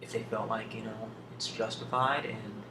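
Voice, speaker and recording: A man speaking as an ASMR video host, answering questions off the cuff in a hesitant, thoughtful way with long pauses. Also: on the low side, flat delivery. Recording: roomy, distant, clearly noisy home recording.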